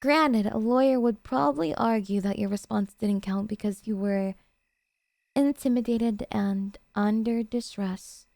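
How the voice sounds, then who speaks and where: A woman's voice: mid-range voice, measured pace, gravelly, darkish tone, no echo. A woman playing an obsessive, possessive yandere girlfriend in an intimate ASMR roleplay, talking in a coy, cutesy, hesitant way to her sweetheart. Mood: clingy adoring.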